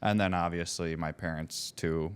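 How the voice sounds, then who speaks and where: A man's voice: low voice, fairly even intonation, moderate pace, clean tone. A man co-hosting a podcast in casual chat, talking loosely and sometimes searching for words; Polish was his first language. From United States. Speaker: podcast co-host.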